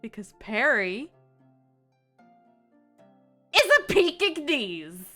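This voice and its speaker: Female livestream host, animated pitch, bright tone, informal chat, faint music bed. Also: very slow. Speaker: streamer hosting.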